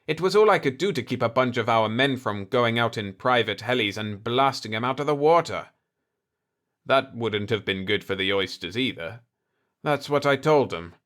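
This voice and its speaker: A man voicing an indignant, gruff chief of state who complains combatively in a sci-fi audiobook. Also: mid-range voice, animated, normal speed, a bit bright, close to an everyday voice. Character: indignant, blustering cigar-chewing planetary chief of state, male.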